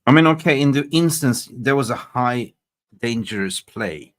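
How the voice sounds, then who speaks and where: Swearing, excitable male football fan host. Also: mid-range voice, normal speed. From Sweden. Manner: blunt and swearing, excitable when reacting to live scores. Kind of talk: casual post-match fan chat.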